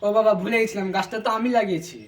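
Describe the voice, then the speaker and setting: A man's voice: high-pitched, rapid-fire. A man voicing a scheming, cheeky young stoner in a comic cartoon, swearing and slangy. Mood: wheedling. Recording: clearly noisy track with clicks and birdsong.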